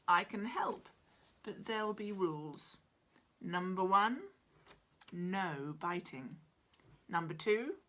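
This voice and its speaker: A woman's voice: mid-range voice, bright, forceful tone, heavily acted. A woman voicing a small, kindly male platypus doctor from a children's storybook, polite, firm and instructive.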